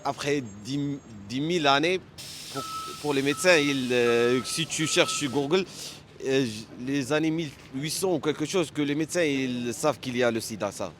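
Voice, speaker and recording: A man whose first language is Arabic, speaking French as a second language in a street debate, halting and insistent, often repeating words. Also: medium pitch, fairly bright tone, a little hiss or hum. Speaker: street interviewee.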